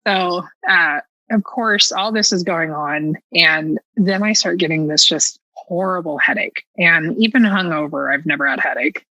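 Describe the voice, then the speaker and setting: A woman telling a personal story as a podcast guest in an animated, casual, self-mockingly dramatic way. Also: fairly low pitch, moderate pace, no room sound, low-quality recording.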